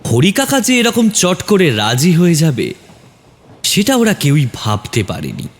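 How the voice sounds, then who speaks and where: A man's voice: a little high, wildly swooping pitch, measured pace. A man narrating a scripted horror story in a dramatic, suspenseful manner.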